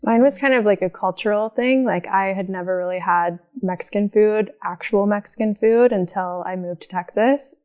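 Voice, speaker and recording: A woman's voice: mid-range voice, normal speed, few pauses, a little mellow. A woman from the Southeastern US in a casual chat, wry in tone.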